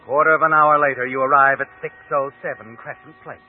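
An actor narrating an old-time radio suspense story in the second person as a knowing, ominous storyteller. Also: male voice, mid-range voice, steady tone, fairly quick, close to an everyday voice. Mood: ominous, suspenseful. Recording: old radio show, phone-like, music behind.

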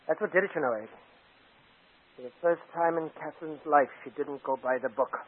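An actor playing a cornered, insistent murderer in a 1940s radio drama, with an agitated way of talking. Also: male voice, a little high, brisk, breathy edge. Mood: accusing. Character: cornered male murderer, groggy, insistent, over-careful. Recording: narrow old radio broadcast recording.

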